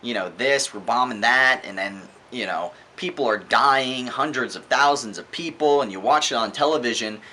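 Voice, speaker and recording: A man's voice: medium pitch, normal speed, few pauses, pushed and bright. A man speaking casually and emphatically in an opinionated video monologue as a book-reviewing host, repeating words for effect and swearing freely. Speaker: vlog host reviewing books.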